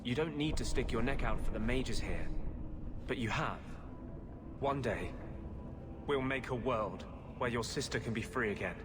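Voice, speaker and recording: An actor playing an earnest, brooding rebel mage in a video game, speaking with impassioned intensity. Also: male voice, mid-range voice, normal speed, long pauses, natural voice. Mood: wistful, grateful. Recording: clear ambient noise behind voice.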